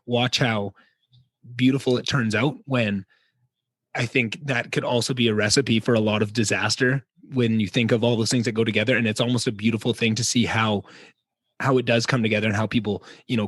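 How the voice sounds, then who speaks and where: A man's voice: on the low side, steady tone, brisk, fairly bright tone, no echo. A man hosting a podcast, asking long, meandering questions full of restarts and fillers. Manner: rambling, lots of restarts and fillers. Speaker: podcast host. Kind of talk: casual interview chat.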